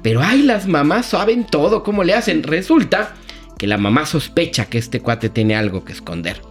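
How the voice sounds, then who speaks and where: A man's voice: a little high, sing-song, normal speed, faint music behind. Chatty Mexican man reviewing series and films. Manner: colloquial, gossipy storytelling with slang. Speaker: film and series critic on a talk show.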